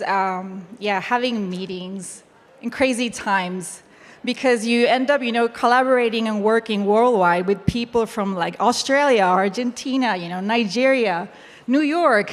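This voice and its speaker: A woman from Chile with a Chilean accent, a native Spanish speaker, answering on a panel and searching a little for words. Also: mid-range voice. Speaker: panelist, Web3 educator. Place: summit event room. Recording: echoey hall with long reverb.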